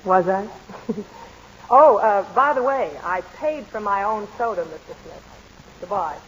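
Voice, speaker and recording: A woman's voice: mid-range voice, lively intonation, unhurried, fairly bright tone, lo-fi sound, slight background noise. An actress playing a wry young woman newspaper editor who teases and probes, in 1940s radio drama style. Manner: wry, probing, lightly mocking.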